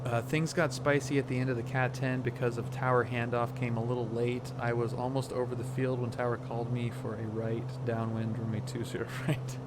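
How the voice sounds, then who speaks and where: Man chatting over a steady background hum. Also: medium pitch. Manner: reflective, halting, searching for words. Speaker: flight sim streamer. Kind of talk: casual livestream commentary.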